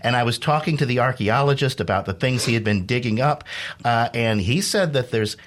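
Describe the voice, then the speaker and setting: A man with an average-pitched voice speaks in a casual, easygoing way. He is an improviser who sounds encouraging. He sometimes halts and feels for the next phrase. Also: brisk, fairly bright tone. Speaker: improv comedy troupe member and guest. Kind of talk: casual chat and improvised scene dialogue.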